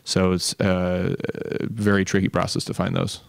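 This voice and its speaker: A man's voice: sits low, little pitch movement, normal speed, gravelly, no echo. A man talking in an interview as an animator, explaining how the work gets made.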